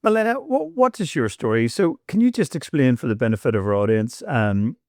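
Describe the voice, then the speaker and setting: A man's voice: mid-range voice, big pitch swings, fairly quick, a little mellow, no echo, clean studio sound. A man hosting an interview podcast, asking questions with eager repeated starts. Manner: repeats words as he starts, enthusiastic. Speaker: podcast host.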